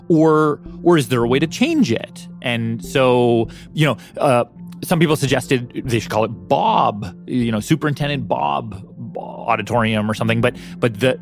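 A man narrating a documentary story in a conversational way, often halting and repeating words as he goes. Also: medium pitch. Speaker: radio documentary host and reporter. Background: music.

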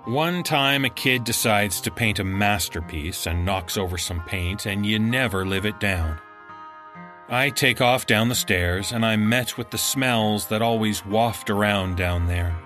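A man reading a first-person horror story in a measured, dramatic way, as an audiobook narrator. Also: fairly low pitch, pushed and bright, soft background music.

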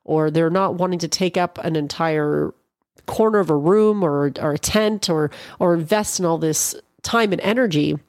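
A woman's voice: lowish voice, darkish tone, no room sound, clean studio sound. Congested, chatty Canadian woman podcaster. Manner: chatty and rambling, with frequent restarts. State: congested, a little nasal. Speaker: podcast host. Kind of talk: casual solo podcast monologue.

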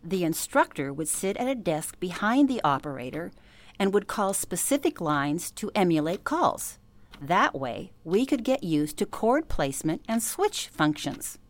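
A woman's voice: on the low side, big pitch swings, a little slow, no room sound. An actress playing an older former switchboard operator who recalls her youth with emphasis, in a radio play interview. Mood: proud recollection.

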